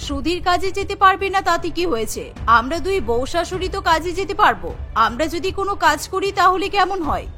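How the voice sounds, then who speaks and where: A woman's voice: extremely high pitch, lively intonation, fast talker, sharp tone, faint music behind, exaggerated voice. A woman voicing a protective elderly mother-in-law in a cartoon, doting but firm, with an emphatic way of talking. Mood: fond, reassuring. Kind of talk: cartoon voice acting.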